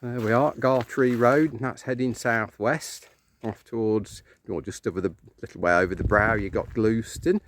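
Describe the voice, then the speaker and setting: A man's voice: fairly even intonation, normal speed, few pauses. A middle-aged man with an English accent gives casual, rambling commentary as a chatty vlog guide with dry humour. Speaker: walking vlog host and local guide. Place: moving car, later open farmland track. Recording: noisy handheld recording, car and outdoor noise. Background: car rumble, outdoor ambience.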